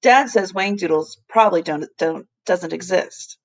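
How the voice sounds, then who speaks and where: A woman's voice: fairly low pitch, a little slow, no room sound, poor audio. Storybook boy played by a woman: doubtful, direct, ready to challenge. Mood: skeptical. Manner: blunt, challenging. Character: blunt, skeptical schoolboy.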